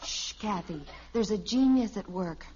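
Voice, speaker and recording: An actress playing a patient, gently sardonic housewife and mother in a 1950s radio sitcom. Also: mid-range voice, slight background noise, natural voice. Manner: measured, wry. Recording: dull old radio recording.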